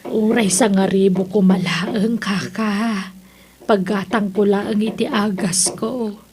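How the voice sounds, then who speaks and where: A woman's voice: medium pitch, steady tone, slow, darkish tone, lightly acted. A woman playing a frail, lonely elderly mother in an audio drama, hesitant, sighing and apologetic. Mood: meek, resigned. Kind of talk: Ilocano audio drama acting.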